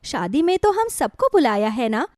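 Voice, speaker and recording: A woman voicing a gentle, wronged young sister-in-law in a moral storybook, plaintive, earnest and reproachful. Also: high-pitched, very animated, normal speed, dry recording, studio quality. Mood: hurt, protesting. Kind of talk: dramatized Hindi moral storybook.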